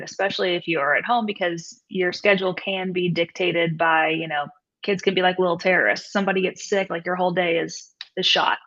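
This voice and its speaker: A woman's voice: lowish voice, a bit bright. A woman in her thirties or forties answering interview questions conversationally as a coach, explaining things and often checking in with "right?" and "you know". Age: 30s to 40s. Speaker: nutrition coach and guest. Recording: dull, lo-fi audio.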